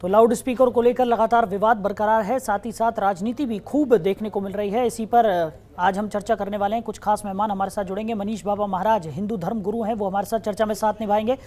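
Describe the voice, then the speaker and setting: A woman news anchor reading a scripted report and introducing guests in a brisk, formal manner. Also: medium pitch, steady tone, dark tone. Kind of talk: scripted news narration and hosting.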